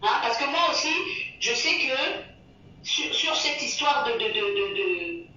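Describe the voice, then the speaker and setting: A woman's voice: high-pitched, expressive, takes it slowly, sharp tone. A woman from the Republic, speaking as a guest in a casual interview and often hesitating and repeating herself as she searches for words. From Central African Republic. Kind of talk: casual interview talk, moral advice. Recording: echoey, distant, dull lo-fi sound.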